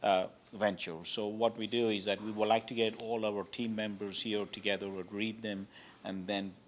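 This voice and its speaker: A man's voice: on the low side, little pitch movement, normal speed, a little hiss or hum. A man born in Zambia, a hotel owner, answering interview questions politely with many hesitations.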